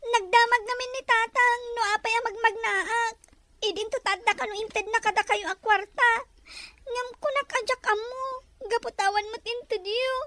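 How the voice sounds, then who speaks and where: A woman's voice: extremely high pitch, steady tone, moderate pace, pushed and bright. A woman voicing a meek, plaintive little schoolgirl in an Ilocano radio drama. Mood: tearful, hurt.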